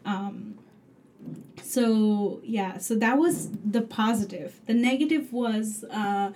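A young woman speaks with a Bangladeshi English accent in a soft, mellow tone. She pauses often, fills gaps with "um" and "you know", and restarts her sentences. She sounds like a graduate student answering in a casual interview. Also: a little high, slight background noise, rough recording. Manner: hesitant, halting, many fillers and restarts. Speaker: PhD student guest.

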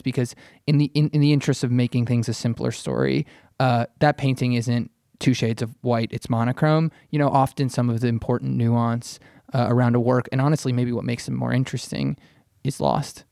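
A man's voice: medium pitch, gravelly, a little mellow, no room sound, studio quality. A man talking casually as a panelist on an arts podcast, informal and a little wry, often rewording as he goes. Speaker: podcast panelist and art writer.

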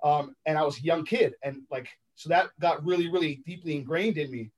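A man from Los Angeles whose first language is sign language, telling his life story as a podcast guest in a reflective, halting way with many ums and uhs. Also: fairly high pitch. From Los Angeles, United States.

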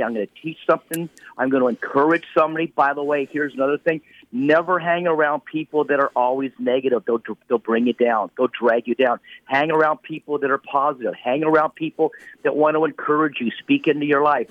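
A man's voice: on the high side, no echo. A man in his late fifties giving a motivational talk on a radio show, speaking emphatically in a repetitive, preacher-like cadence over a phone line. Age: 50s.

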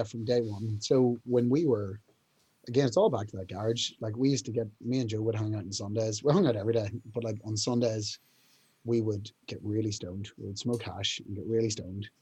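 A young man, a band's singer, telling rambling stories in a casual interview, clearing his throat often. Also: fairly low pitch, dark tone. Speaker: band singer, interview guest.

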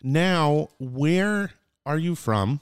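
A man hosts a casual interview podcast in a smooth, clean voice. He speaks at a slow, easy pace and repeats words for emphasis with exuberance. The sound is close and dry. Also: a little high, expressive, dry recording. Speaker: podcast host.

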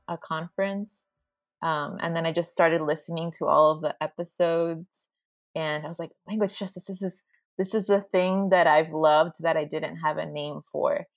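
A woman's voice: deep voice, creaky, no echo. A young woman from Argentina, a podcast guest, chatting casually with lots of fillers. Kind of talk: casual conversation.

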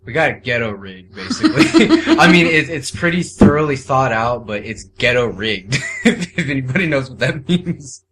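A man joins a relaxed podcast chat, laughing and good-humored, his pitch swinging lively up and down. Loud clicking noise runs over his voice. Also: normal speed, hardly any pauses. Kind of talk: casual chat.

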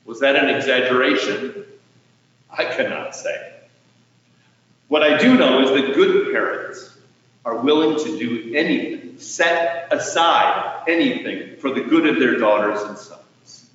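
An American man preaching a sermon as a pastor, speaking deliberately with rhetorical questions and pauses for emphasis. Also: mid-range voice, lively intonation, fairly bright tone. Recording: echoey hall, distant mic, muffled sound.

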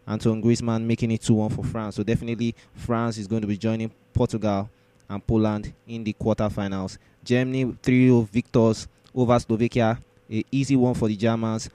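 A man's voice: lowish voice, flat delivery, brisk, warm tone, dry recording. A man hosting a sports show, running through results and predictions in a roundup style.

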